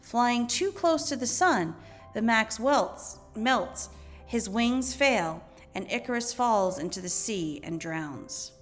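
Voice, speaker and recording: A woman teacher reading educational material aloud in a measured, instructional way. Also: on the high side, lively intonation, faint music behind. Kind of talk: educational read-aloud narration.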